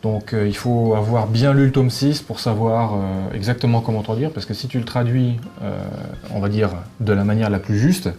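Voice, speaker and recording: A man giving a casual interview as a guest, speaking in a hesitant, halting way and often restarting his phrases. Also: lowish voice, steady tone, warm tone. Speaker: video game translator, interview guest. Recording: clearly noisy background ambience.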